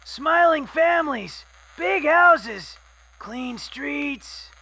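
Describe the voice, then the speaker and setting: A giddy robot radio cohost, played by a performer, speaks in a high, gravelly and bright voice. He drawls slowly, then bursts out in excitement. He muses about the old world in a quiet way. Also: full character voice, rough recording. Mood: wistful. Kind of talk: fan radio drama.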